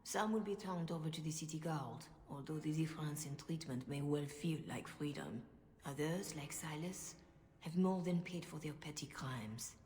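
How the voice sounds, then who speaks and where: Actress as a grave, low-voiced clergywoman. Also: a little mellow, lightly acted. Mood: solemn. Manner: formal, measured, diplomatic. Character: grave, measured, principled woman of the Chantry clergy. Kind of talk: dramatic video game dialogue.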